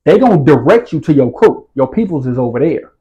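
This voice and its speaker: Interview guest: man, slightly high voice, relaxed streetwise delivery, keeps checking in with the listener. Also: a little fast, muffled recording. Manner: streetwise slang, repeats "you feel what I'm saying". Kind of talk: casual storytelling interview.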